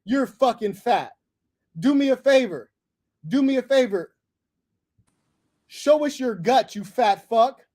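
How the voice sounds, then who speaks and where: A man's voice: very high voice, expressive, takes it slowly, pauses often, a little mellow. A man from Los Angeles hosting a livestream, insulting and cursing at a caller in a heated, domineering way. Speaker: livestream host. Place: abroad on livestream.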